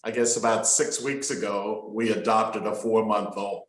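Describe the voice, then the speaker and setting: Low-voiced man in his sixties, echoey room. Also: level tone, normal speed. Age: 60s. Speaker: company owner and panelist. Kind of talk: online panel discussion.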